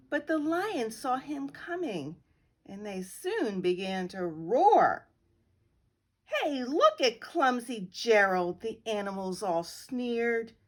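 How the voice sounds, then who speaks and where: Slow, expressive, breathy woman reading aloud. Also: a little high. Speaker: guest reader. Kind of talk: children's picture-book read-aloud.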